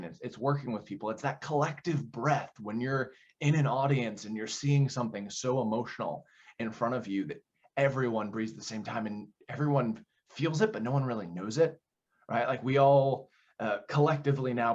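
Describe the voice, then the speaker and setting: A man's voice: medium pitch, husky, lo-fi sound. A man giving thoughtful interview answers as a theater director, explaining things conversationally and building his points through repeated phrases.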